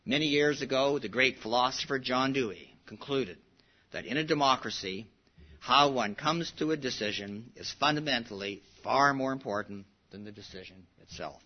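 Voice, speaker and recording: A man's voice: medium pitch, normal speed. Man chairing an assembly, formal emphatic address, dull lo-fi sound. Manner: earnest, emphatic, repeats for stress.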